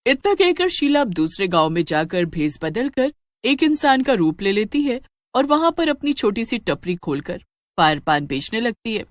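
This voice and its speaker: A woman giving a scripted, expressive narration of a cartoon horror story. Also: fairly high pitch, normal speed, no room sound, clean studio sound.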